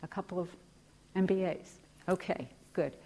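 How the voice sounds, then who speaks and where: Brief: middle-aged woman from Ecuador, American accent, low pitch, guest lecturer, informal and full of fillers. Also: normal speed, mellow. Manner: conversational, frequent fillers and restarts. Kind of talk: lecture to a class.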